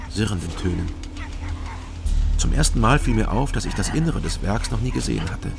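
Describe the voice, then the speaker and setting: A pensive night porter in a radio crime drama, low and creaky, says things plainly, music underneath. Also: male voice. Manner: laconic, understated. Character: younger male night porter, wary, pensive, laconic. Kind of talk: radio crime drama, first-person narration and dialogue.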